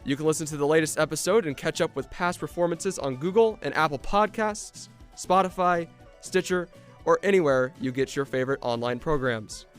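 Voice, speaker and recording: A man's voice: fairly high pitch, expressive, fairly quick, faint music behind. A man announcing a podcast in a scripted promotional read.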